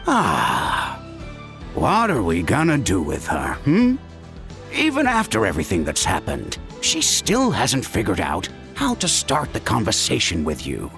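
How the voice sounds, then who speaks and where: An actor playing a caring middle-aged uncle who gently scolds his niece in a video game. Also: lowish voice, measured pace, heavily acted. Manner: warm-hearted scolding, expressive. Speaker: game character. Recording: game audio with soft music.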